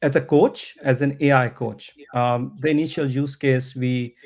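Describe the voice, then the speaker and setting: A man whose first language is not English, answering interview questions about his product in a slow, thoughtful way with many pauses and restarts. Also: mid-range voice, steady tone, darkish tone. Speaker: startup founder, interview guest. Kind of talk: podcast interview.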